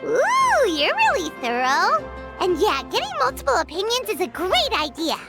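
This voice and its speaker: A woman voicing a small, excitable, childlike fairy companion in a video game, with a squeaky, exclamatory delivery. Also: normal speed, music in the background, exaggerated voice. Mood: bright, chatty. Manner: exclamatory, refers to herself in third person. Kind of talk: video game character acting.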